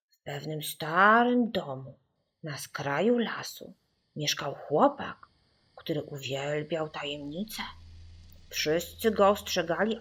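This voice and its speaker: A woman narrates a spooky tale for children with animated, swooping pitch. Her delivery is slow and theatrical, built for suspense, and her bright voice has a breathy, husky edge. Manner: theatrical, suspenseful, dropping into whispers. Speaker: audiobook narrator. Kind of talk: dramatic scripted narration of a children's spooky story.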